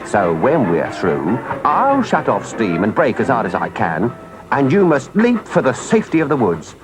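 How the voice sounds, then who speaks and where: A man's voice: medium pitch, wildly swooping pitch, moderate pace, music in the background, poor audio. A man voicing a stern but kindly engine driver in a storybook, earnest and plain-spoken. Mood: urgent.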